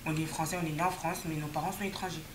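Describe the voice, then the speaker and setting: A teenage girl in a casual classroom debate, talking slowly and measuredly, in an echoey room with background noise. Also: low-pitched, steady tone. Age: teens. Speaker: student in a group discussion.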